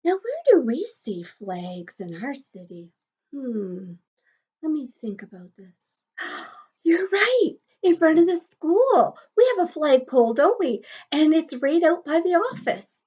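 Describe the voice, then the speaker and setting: A woman's voice: high-pitched, sing-song, slow pace, mellow. A woman teacher reading a picture book aloud to young children, encouraging them with questions and sing-song asides, on a slightly dull recording.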